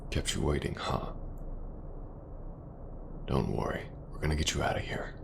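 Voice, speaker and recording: A man playing a gruff, protective mercenary commander, speaking softly and reassuringly in an ASMR audio roleplay. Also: background noise, exaggerated voice. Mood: quiet, reassuring. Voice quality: gravelly, very deep. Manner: slow, soft-spoken, reassuring.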